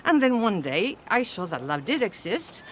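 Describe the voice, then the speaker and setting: A woman playing a kindly, reflective, gently amused older nun in a video game cutscene. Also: mid-range voice, very animated, moderate pace, slight background noise, lightly acted, poor audio. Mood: earnest, consoling. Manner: gentle, reflective, good-humoured.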